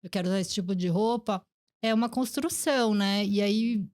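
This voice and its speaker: A woman's voice: medium pitch, normal speed, darkish tone. Chatty Brazilian woman in her forties. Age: 40s. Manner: chatty, reflective, many fillers like "gente" and "né". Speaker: podcast host. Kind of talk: casual conversational interview.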